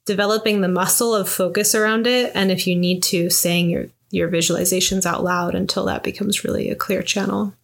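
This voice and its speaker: A young woman co-hosting a podcast, talking in a reflective, soft-spoken way with many fillers about astrology and visualization. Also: lowish voice, fairly even intonation, brisk, creaky, hardly any pauses, a little hiss or hum. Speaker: podcast co-host. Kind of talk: casual conversation about astrology.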